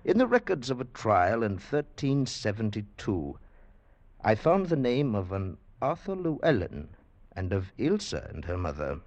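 A low-voiced old radio play doctor, very expressive, sure of himself, deliberate, dismissive. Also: male voice, dry recording, lo-fi sound. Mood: grave, explanatory. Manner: authoritative, deliberate, explanatory. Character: assured, rational male doctor, calmly dismissive. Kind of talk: 1940s radio drama acting.

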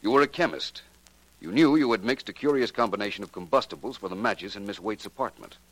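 An actor with an American accent playing a suave, assured detective in a 1940s radio drama, measured and pointed in delivery. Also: male voice, lowish voice, fairly bright tone, dry recording, low-quality recording. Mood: assured, accusing. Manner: measured, pointed, coolly deliberate. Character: suave, assured male amateur detective solving a murder. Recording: vintage 1940s radio recording.

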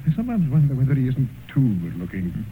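An actor playing an earnest, protective, anxious painter who speaks confidingly in a radio drama. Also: male voice, medium pitch, huge pitch swings, fast. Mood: hesitant, confiding. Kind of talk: 1950s radio drama acting. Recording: narrow, noisy old radio recording.

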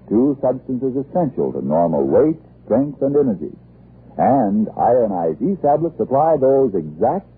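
A man with a husky voice, doing scripted 1940s radio announcing on a tinny recording. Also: medium pitch.

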